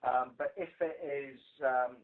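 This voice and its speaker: A man's voice: medium pitch, steady tone, measured pace. British man on a tinny phone line. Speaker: police investigator. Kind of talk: work briefing on a conference call. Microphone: telephone. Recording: tinny narrow-band phone conference line.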